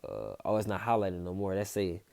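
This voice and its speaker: Casual preaching man, dark dry voice. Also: low voice, sing-song, a little fast, vocal fry, dry recording. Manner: casual, repeats himself, tags lines with "man" and "okay". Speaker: street preacher. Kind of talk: informal scripture teaching.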